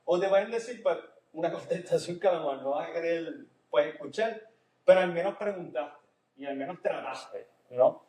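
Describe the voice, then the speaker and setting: A man's voice: on the high side, moderate pace, darkish tone. A man with a Puerto Rican Spanish accent mixed with some Nicaraguan, chatting casually as a therapist co-hosting a podcast. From Puerto Rico. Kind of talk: casual conversational podcast talk. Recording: roomy, echoey sound.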